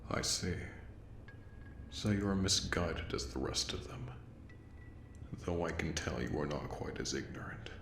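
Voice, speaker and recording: A man voicing a courtly, menacing, obsessive plague doctor creature in an audio roleplay, speaking in a slow, formal, breathy murmur with a gravelly edge. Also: rumbling low. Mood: cool disappointment. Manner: slow, formal, old-fashioned diction, softly menacing. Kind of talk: audio roleplay monologue addressed to the listener. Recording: roomy echo with faint background noise.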